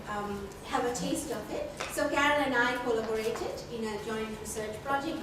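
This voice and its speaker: A woman from Bangladesh, a researcher presenting at a conference, speaking in a measured way with many ums and uhs. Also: a little high. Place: conference hall. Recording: echoey hall from far off, noisy.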